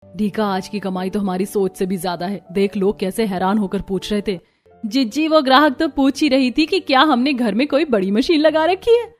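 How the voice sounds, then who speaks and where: A woman's voice: mid-range voice, normal speed, soft background music, natural voice. A woman playing a hardworking, earnest young village daughter-in-law in a cartoon. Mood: proud.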